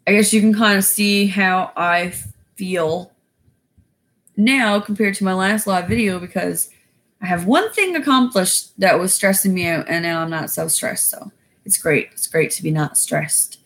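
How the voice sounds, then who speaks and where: A woman with a raspy voice chatting casually on a livestream, rambling with many fillers. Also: mid-range voice, measured pace, a bit bright. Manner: rambling, loose, lots of fillers. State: winded after exercising. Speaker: YouTuber going live.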